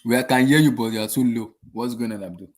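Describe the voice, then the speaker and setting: A man's voice: medium pitch, quick pace. A man hosting a casual football panel, asking guests for their take and adding short remarks. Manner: brief prompts and backchannel. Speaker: show host.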